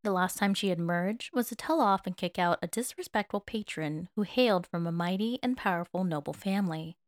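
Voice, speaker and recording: A woman's voice: lowish voice, a little fast, no echo, studio quality. A woman narrating fan fiction as a dramatic audiobook.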